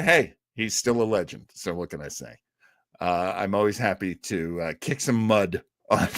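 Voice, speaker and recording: A man with a mid-pitched voice hosts a live stream in a loose, chatty style. He wanders into asides in a conversational way and is prone to chuckling. The sound is dry, with very little room in it. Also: a bit bright, no room sound. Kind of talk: casual chat with live viewers.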